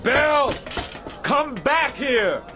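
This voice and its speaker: An actor playing a firm, compassionate but weary Navy captain in a radio drama, speaking with deliberate, commanding emphasis. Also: male voice, extremely high pitch, very animated, music in the background, full character voice. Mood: shouting alarm. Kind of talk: dramatic radio theatre acting. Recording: faint background noise.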